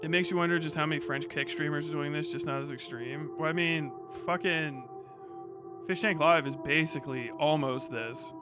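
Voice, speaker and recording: Profane ranting man, higher voice, loud music. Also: moderate pace. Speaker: livestream host. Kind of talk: casual livestream commentary, reacting to viewer chat.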